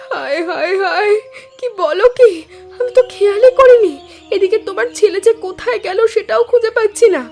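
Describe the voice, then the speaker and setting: A woman plays a younger village woman in a cartoon. Her voice is extremely high and plaintive, anxious yet gentle toward her elders. Faint music sits underneath. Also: brisk, creaky. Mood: shocked, distressed. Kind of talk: Bengali cartoon voice acting.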